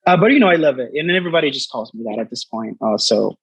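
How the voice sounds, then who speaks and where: A man's voice: medium pitch, lively intonation, quick pace, husky, no room sound, lo-fi sound. A man in his twenties from the Dominican Republic, a native Spanish speaker, chatting casually as an interview guest and often padding with "like" and "you know". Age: 20s. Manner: hesitant, frequent "like" and "you know". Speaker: artist guest.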